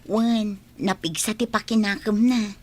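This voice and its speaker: A woman's voice: mid-range voice, fairly even intonation, a little slow, darkish tone, close to an everyday voice. An actress playing a friendly young village woman in a radio drama, with an Ilocano accent. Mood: coy. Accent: Ilocano, northern Philippines.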